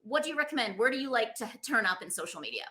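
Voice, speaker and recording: A woman's voice: on the high side, big pitch swings, very fast, pushed and bright. A woman hosting a podcast, interviewing conversationally in an enthusiastic, encouraging manner. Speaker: podcast host.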